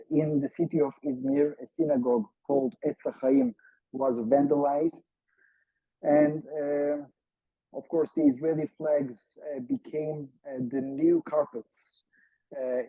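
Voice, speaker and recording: A man from Turkey, a native Turkish speaker with a Turkish accent, giving formal seminar analysis in a halting, emphatic way over a video call. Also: mid-range voice, creaky. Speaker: seminar panelist, political analyst. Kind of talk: seminar talk, semi-prepared analysis. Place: online Zoom seminar. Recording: muffled, echo-free video call audio.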